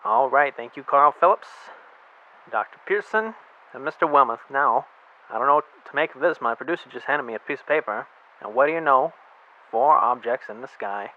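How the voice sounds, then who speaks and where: An actor playing a casual, chatty studio radio announcer in an amateur radio drama. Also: male voice, medium pitch, expressive, fairly quick, close to an everyday voice. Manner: casual, chummy presenting. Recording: tinny phone-like sound.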